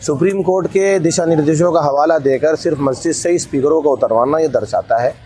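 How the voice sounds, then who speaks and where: A man's voice: on the high side, fairly quick, dark tone. A man giving an earnest political statement as an interviewee, repeating phrases for emphasis.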